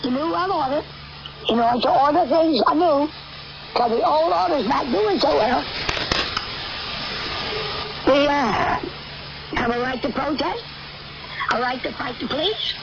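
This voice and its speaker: An older American woman preaches a rambling end-times sermon in a high-pitched voice. She is emphatic and repetitive, halting between phrases. It comes over shortwave radio, muffled and clearly noisy.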